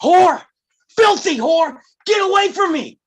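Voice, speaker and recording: A woman playing an anguished, desperate gunslinger man in a western table read, with a raspy voice and explosive, pleading outbursts. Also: very high-pitched, lively intonation, takes it slowly, exaggerated voice. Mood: desperate, furious. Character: desperate, anguished gunslinger man watching his brother die.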